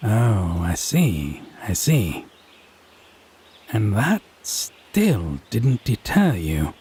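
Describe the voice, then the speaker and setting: A man playing a haughty, teasing, possessive fae in a scripted audio roleplay, speaking in wry, theatrical, deliberate phrases. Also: on the low side, big pitch swings, darkish tone, husky, exaggerated voice. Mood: wry, mocking.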